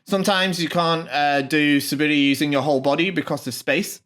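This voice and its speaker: A man hosting his own channel, translating a video message in an informal, off-the-cuff way, with many pauses, ums and restarts. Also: a little high, fairly even intonation, fairly bright tone. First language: not Japanese.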